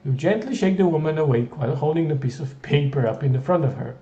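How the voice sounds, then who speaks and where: Mellow-voiced man, wry and playful. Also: fairly high pitch, lively intonation, quick pace, roomy sound, dull audio. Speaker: gamer reading a text game aloud. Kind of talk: reading a text adventure aloud with comic asides.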